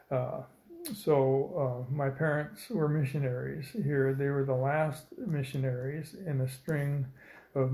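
A man in his seventies from Indiana recalls his past in an oral history interview, pausing often on fillers. His voice is gravelly and stays level in pitch. The recording carries a roomy echo. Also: warm tone. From Indiana, United States. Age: 70s. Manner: slow, hesitant storytelling with many fillers. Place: old building, a former dormitory.

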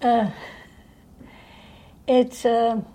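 An elderly woman from Austria recalling her life at home in an unscripted way, halting as she searches for words. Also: fairly high pitch, flat delivery, warm tone. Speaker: interviewee recounting life history. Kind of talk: unscripted reminiscence. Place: indoors in own home.

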